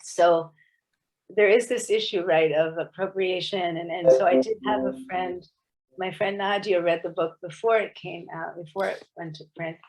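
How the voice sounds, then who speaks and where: This is a woman with a somewhat low voice, talking as a guest author on a video call. She speaks thoughtfully and tentatively, pausing and restarting her phrases as she works out what she means. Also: fairly even intonation, darkish tone, low-quality recording. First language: English. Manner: thoughtful, hesitant, many restarts. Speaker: author guest at a book club. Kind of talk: casual explanatory talk on a video meeting.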